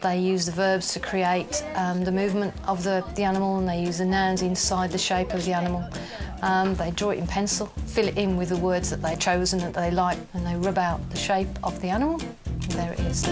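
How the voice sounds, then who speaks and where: A woman, a teacher, explaining her lesson in a measured, thoughtful way with pauses. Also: fairly low pitch, flat delivery, music in the background. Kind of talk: interview answers.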